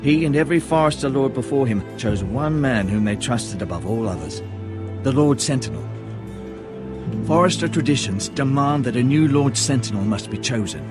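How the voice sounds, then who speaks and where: An actor playing a learned, loyal castle advisor in a video game drama, formal and measured, giving counsel. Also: male voice, medium pitch, animated, over music, close to an everyday voice. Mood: solemn counsel.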